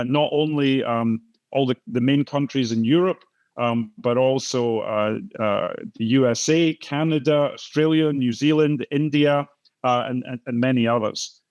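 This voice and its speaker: An older Scottish man hosting an online talk event on a video call, speaking in a halting way with many fillers and restarts. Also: medium pitch, a little mellow, no echo, highs cut off. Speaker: host and moderator. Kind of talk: conversational welcome and introduction.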